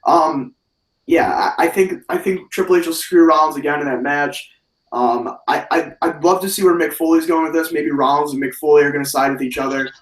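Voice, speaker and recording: Man, hoarse, slightly high voice, animated rambling talk, casual wrestling chat, echoey room, far from the mic. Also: fairly quick. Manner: animated, rambling, many fillers and restarts. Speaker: wrestling podcast host.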